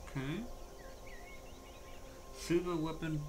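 A man's voice: fairly high pitch, fairly even intonation, slow, noisy background. A man giving casual commentary while setting up a game, muttering to himself and reading settings aloud in clipped phrases. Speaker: gaming video host. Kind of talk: casual gameplay setup commentary. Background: music.

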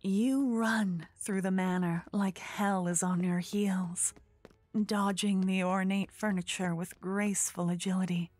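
Woman telling a fantasy asmr story, takes her time, hushed n suspenseful, really dry close sound. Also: mid-range voice, fairly even intonation, unhurried, no room sound, faint music behind. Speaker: narrator. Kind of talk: second-person scripted fantasy narration, ASMR.

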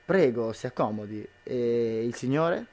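Devoted husband for radio drama, young male actor: mid-pitched, earnest, tender, worried. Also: expressive, unhurried, a little mellow. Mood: anxious. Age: young adult. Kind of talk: amateur radio drama acting.

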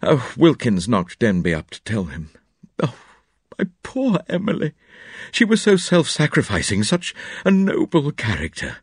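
A man voicing a hypocritical middle-aged husband with a high, slow, overwrought delivery. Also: wildly swooping pitch, husky, no room sound, studio quality, heavily acted. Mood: mock grief.

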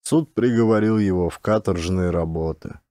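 Male audiobook narrator, dramatic literary read, soft dark tone, dry sound. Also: sits low, moderate pace, dry recording.

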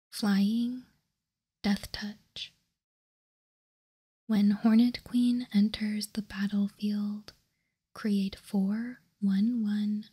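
A woman speaks in a very breathy ASMR whisper, close to the microphone. Her delivery is slow and deliberate, with little rise or fall and a soft, mellow tone. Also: mid-range voice. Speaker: host of a trading card ASMR channel. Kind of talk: whispered ASMR, reading out and commenting on cards.

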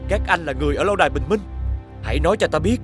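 A man voicing an earnest, brave young fairy-tale prince in an expressive children's cartoon style. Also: on the high side, racing, over music, lightly acted. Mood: puzzled, commanding.

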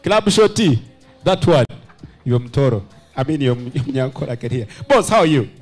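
A man's voice: medium pitch, lively intonation, normal speed, a little mellow. A man with a Ugandan accent hosting a nightclub launch party, playfully teasing guests with a handheld mic and switching into Luganda. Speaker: event host interviewing guests. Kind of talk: lively party vox pop. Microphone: handheld microphone. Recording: party music and crowd behind.

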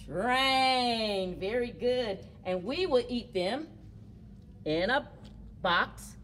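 A middle-aged woman leads story time for small children in a high, very lively voice. She takes her time with each phrase, encouraging and animated. The recording is made indoors with noticeable background noise. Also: drawn-out words. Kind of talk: children's story time, sing-along and read-aloud. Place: indoors among library bookshelves. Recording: clear background noise, room ambience.

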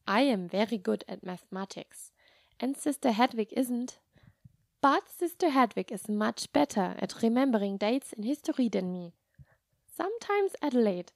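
A woman voicing a kind, patient nun in a children's story, speaking softly and reassuringly with gentle humor. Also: on the high side, gravelly, dry recording, full character voice. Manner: soft, measured, reassuring.

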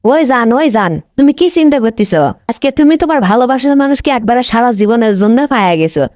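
Woman as pushy young girlfriend, north bengal accent, talks fast n high, coaxing, cartoon comedy. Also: animated, darkish tone, dry recording, close to an everyday voice. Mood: reassuring, coaxing. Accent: North Bengal Bangladeshi. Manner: insistent, coaxing pleading. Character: pushy, lovestruck young village girlfriend and new bride.

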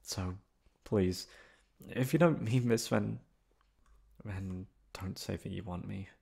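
Man acting a lovestruck young delinquent with creaky voice. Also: lowish voice, a little mellow, full character voice. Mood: hesitant, vulnerable. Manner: hesitant, halting, tender. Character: lovestruck young delinquent confessing to his best friend. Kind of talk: intimate ASMR boyfriend roleplay.

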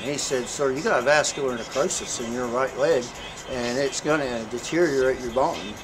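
A man's voice: mid-range voice, normal speed, music in the background, low-quality recording. A man in a casual street interview who tells his story in an earnest, preaching way, filling his talk with "you know.".

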